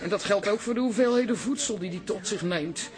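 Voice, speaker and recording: A woman's voice: medium pitch, fairly quick, natural voice. A woman plays a middle-aged neighbourhood auntie in a radio play. She is chatty and plain-spoken, airing her complaints with tired good sense. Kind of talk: Dutch radio drama acting.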